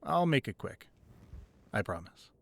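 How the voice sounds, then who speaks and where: Expressive man, acting a crime-boss boyfriend, close and dry. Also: mid-range voice, a little slow, vocal fry, dry recording, exaggerated voice. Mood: apologetic, tender. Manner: conversational, playful with partner, curt and commanding in business. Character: affectionate boyfriend, secretly a hardened crime boss. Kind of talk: intimate ASMR roleplay acting.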